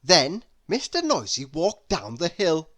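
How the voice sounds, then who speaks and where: A storybook narrator reading a children's tale aloud in an animated, expressive way. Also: mid-range voice, unhurried. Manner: animated, expressive, with emphatic sound words. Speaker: storybook reader.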